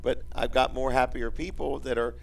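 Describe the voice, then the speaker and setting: A man's voice: mid-range voice, steady tone, normal speed, creaky, far from the mic, slight background noise, poor audio. An American man hosting a casual promotional radio chat in a folksy, repetitive salesman's manner with joking questions. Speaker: radio show host and business owner.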